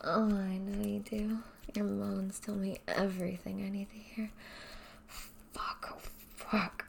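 A woman speaking straight to the listener in a soft, breathy, often whispered voice, dominant and teasing in an intimate audio roleplay. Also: medium pitch, a little hiss or hum.

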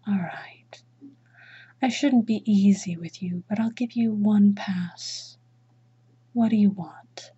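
Woman, dominant mistress type: slow, breathy, whispering, commanding. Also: medium pitch, dark tone, dull audio. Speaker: erotic audio roleplay performer.

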